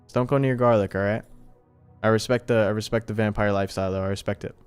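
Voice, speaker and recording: Male streamer, clean resonant voice, informal chat to viewers, soft music underneath. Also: lowish voice, fairly even intonation, quick pace, smooth. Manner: informal, slangy, appreciative of viewers. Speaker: live streamer and host. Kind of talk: casual livestream chat with viewers.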